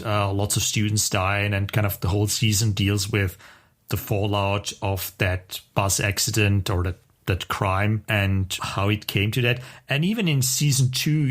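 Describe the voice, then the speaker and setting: A man's voice: fairly low pitch, a bit bright. Casual male podcast co-host, thinking aloud. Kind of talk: casual podcast chat, recommending TV shows.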